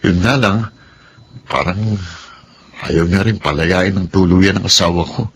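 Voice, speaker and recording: An actor playing a frustrated young husband of thirty who complains in an exasperated way. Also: male voice, sits low, measured pace, slightly rough, exaggerated voice. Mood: rueful. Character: young husband Nathan, 30, frustrated, wants independence. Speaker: husband in radio drama. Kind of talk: Filipino radio drama acting.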